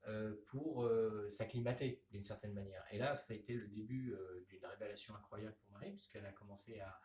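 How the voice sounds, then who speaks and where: A man, a comics author, talking in a radio interview with many pauses and restarts. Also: fairly low pitch, darkish tone, echoey room, recorded from a distance. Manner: hesitant, many fillers and restarts.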